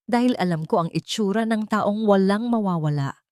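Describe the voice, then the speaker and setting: A woman voicing a commanding, cold male CEO who speaks tersely and deliberately in a scripted audio drama. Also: mid-range voice, darkish tone, dry recording, close to an everyday voice. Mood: cold, challenging.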